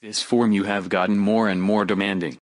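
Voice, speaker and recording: A man's voice: lowish voice, little pitch movement, moderate pace, close to an everyday voice. A man playing a cool, threatening science-fiction operative who speaks in a terse, commanding way. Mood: stern. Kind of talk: science-fiction film dialogue. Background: music.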